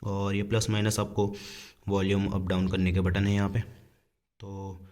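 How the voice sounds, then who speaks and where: A man's voice: fairly low pitch, monotone, moderate pace, darkish tone. A man giving a casual hands-on product demonstration in an informal, step-by-step explanatory manner. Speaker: vlogger reviewing a product.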